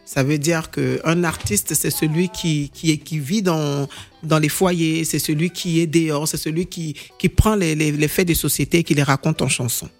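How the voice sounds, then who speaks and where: A woman's voice: low-pitched, steady tone, normal speed, faint music behind. A woman singer being interviewed in a radio studio, explaining her views earnestly and repeating herself for emphasis. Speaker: singer and guest.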